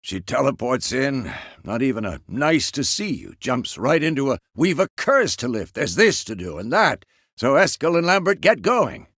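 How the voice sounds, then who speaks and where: A man playing a gruff, fatherly old monster hunter in a fantasy video game, with a gravelly voice and a terse, measured, authoritative manner. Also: medium pitch, big pitch swings, fairly bright tone, dry recording, studio quality. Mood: wry, grumbling.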